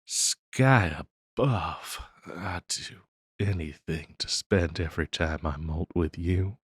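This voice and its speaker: Guy doing a sweet flustered harpy boyfriend for audio rp, really low voice, slow, kinda hesitant n teasing. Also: husky, no echo, clean studio sound, heavily acted. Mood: soothed, loving. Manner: hesitant, teasing, tender, with small chuckles. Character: affectionate, flustered young male harpy boyfriend. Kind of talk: intimate boyfriend audio roleplay.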